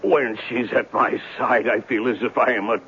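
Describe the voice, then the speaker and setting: Man acting pompous fat suitor, blustering, mid-pitched, 1970s radio drama, muffled recording with faint hiss. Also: fairly even intonation, normal speed, close to an everyday voice. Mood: passionate.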